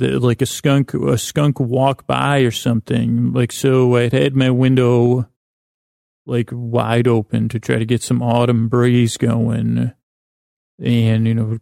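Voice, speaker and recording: Creaky mellow guy doing a rambly bedtime podcast, halting, keeps going off on tangents, kinda self-deprecating. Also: medium pitch, dry recording, studio quality. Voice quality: creaky, gravelly. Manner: halting, digressive, self-deprecating, frequent pauses. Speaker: podcast host. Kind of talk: rambling, meandering bedtime monologue.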